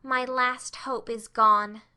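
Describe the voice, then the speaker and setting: A woman voicing an excitable, dramatic young orphan girl who talks in big words, in a storybook reading. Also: high-pitched, takes it slowly, clear, resonant tone, heavily acted. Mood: indignant.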